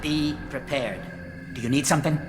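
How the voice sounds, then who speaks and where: A man plays a disdainful mercenary gang boss in a video game. He is curt and superior, with loud music running behind his voice. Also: fairly high pitch, moderate pace, natural voice.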